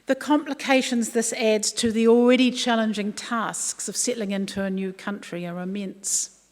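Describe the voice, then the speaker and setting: A woman's voice: fairly high pitch, steady tone, moderate pace. New woman speechmaking in reverberant hall. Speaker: commission chairperson, guest speaker. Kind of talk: formal prepared speech. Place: large indoor hall, public address. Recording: echoey hall, long reverb.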